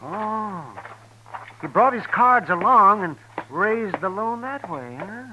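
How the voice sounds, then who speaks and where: A nervous, stammering old frontier doctor. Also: male voice, high voice, lively intonation, takes it slowly, highs cut off, slight background noise. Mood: evasive. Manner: hesitant, stammering, evasive. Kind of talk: 1950s radio drama acting.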